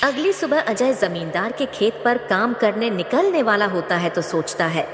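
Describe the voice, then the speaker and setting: A woman's voice: mid-range voice, sing-song, normal speed, music in the background. A woman narrating a scripted cartoon moral story as its storyteller. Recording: echoey, long reverb.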